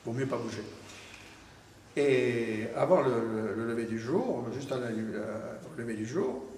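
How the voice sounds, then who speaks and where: A man's voice: mid-range voice, lively intonation, a little slow, darkish tone, slight background noise. A man in his eighties from Tunisia, recounting his wartime past as an oral history testimony, hesitant, with many fillers and restarts. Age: 80s. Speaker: war veteran giving testimony. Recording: distant, roomy recording with long reverb.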